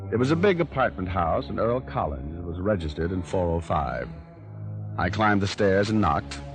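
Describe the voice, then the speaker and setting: An actor playing a cool, cocky private detective in a vintage radio noir, sardonic and clipped. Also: male voice, deep voice. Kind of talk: 1940s radio noir acting and narration. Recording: old radio recording with loud music.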